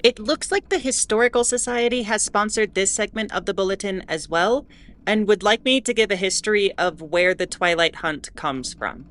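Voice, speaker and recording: A woman playing a wry, mildly exasperated starship announcer in a scripted audio drama, explaining in a measured way with asides. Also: medium pitch, animated, fairly bright tone, lightly acted. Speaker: host.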